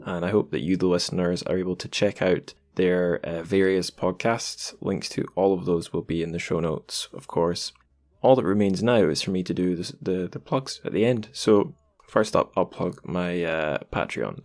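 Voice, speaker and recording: A man's voice: sits low, vocal fry, a little mellow. A British man hosting a podcast, talking casually and conversationally with self-aware asides. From United Kingdom. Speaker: podcast host.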